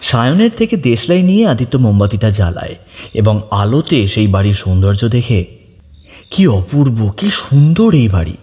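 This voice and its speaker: Male horror audiobook narrator; warm, relaxed timbre, expressive intonation, long echoing tail. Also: mid-range voice, normal speed. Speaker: story narrator. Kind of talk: dramatic scripted narration of a ghost story.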